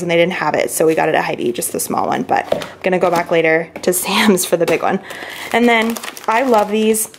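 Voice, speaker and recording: A woman's voice: on the low side, slight background noise. Chatty young woman showing her grocery haul. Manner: chatty and upbeat, lots of fillers. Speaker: lifestyle vlogger. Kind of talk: casual grocery haul chat. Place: indoors at home, kitchen counter. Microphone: handheld camera at arm's length.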